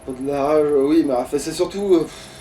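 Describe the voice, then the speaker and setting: A man's voice: a little high, brisk, dark tone. A man playing a video game and commenting casually, with exasperated, foul-mouthed ranting. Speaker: let's player, playing the game. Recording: distant mic, slightly noisy.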